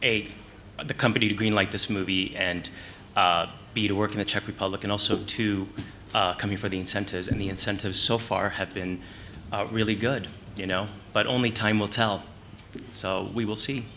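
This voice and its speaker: A man, a film producer, giving informal and halting unscripted answers at a press conference. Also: on the low side, steady tone, pushed and bright. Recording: noisy press room with clicks.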